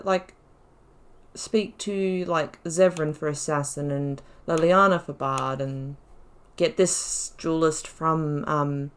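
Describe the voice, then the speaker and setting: A woman giving casual let's play commentary, chatty and musing, thinking aloud as she plays. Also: on the low side. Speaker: gaming YouTuber playing through a role-playing game.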